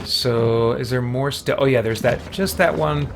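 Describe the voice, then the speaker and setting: A man's voice: mid-range voice, measured pace, vocal fry, heavy background noise. A man chatting casually as he streams a game, rambling and thinking aloud as he plays. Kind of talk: casual live-stream chat and game commentary. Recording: music playing behind the voice. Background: game music.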